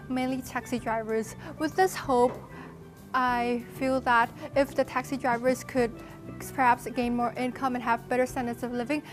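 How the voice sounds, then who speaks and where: Young woman giving an earnest speech. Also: on the high side. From Thailand. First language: Thai. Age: teens to young adult. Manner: earnest, steady delivery with small self-corrections. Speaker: student speaker at a charity art exhibition. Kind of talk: prepared public speech.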